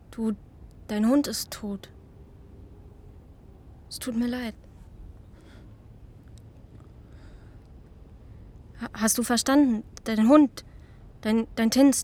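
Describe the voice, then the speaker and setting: High-voiced teenage schoolgirl outdoors. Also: fairly even intonation, slow, long pauses, darkish tone. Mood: hesitant, sympathetic. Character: chatty, dreamy East German schoolgirl in her early teens. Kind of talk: naturalistic German radio drama acting. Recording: outdoor ambience with crickets, clear background noise.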